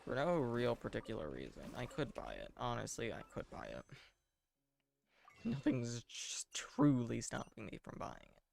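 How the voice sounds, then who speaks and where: A man giving casual live game commentary in a deadpan, self-deprecating way, muttering asides to himself. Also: medium pitch, moderate pace, vocal fry, faint music behind. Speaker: game streamer.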